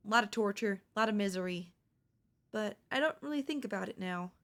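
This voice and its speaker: A woman's voice: mid-range voice, lively intonation, fairly quick, a bit bright. A woman voicing a cute, affectionate young ghost girl in an intimate ASMR audio roleplay, casually frank and hesitant. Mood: nonchalant, frank. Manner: casual, hesitant, matter-of-fact about grim things. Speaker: voice actor.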